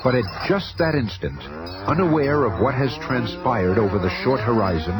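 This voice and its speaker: A man's voice: medium pitch, animated, normal speed, over music. A man narrating a 1970s superhero radio serial in a dramatic, scripted style. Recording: noisy 1970s radio recording.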